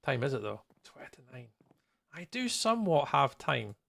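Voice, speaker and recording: A man's voice: medium pitch, sing-song, moderate pace, gravelly, fairly bright tone, slight background noise. A man chatting casually on a gaming livestream, thinking aloud in a playful, rambling way. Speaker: live streamer, host.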